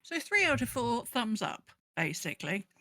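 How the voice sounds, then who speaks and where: A woman's voice: a little high, big pitch swings, moderate pace, sharp tone, no room sound, clean studio sound. A woman co-hosting a podcast in casual chat, conversational, repeating phrases for emphasis as she goes. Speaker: podcast co-host. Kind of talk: casual podcast chat about a video game.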